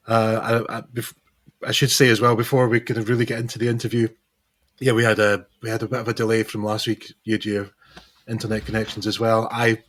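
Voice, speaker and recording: Male musician guest, hoarse, lowish voice, rambling hesitant delivery. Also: flat delivery, brisk, a bit bright. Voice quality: hesitant, lots of fillers and restarts. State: hoarse, recovering lost voice. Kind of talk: casual interview chat.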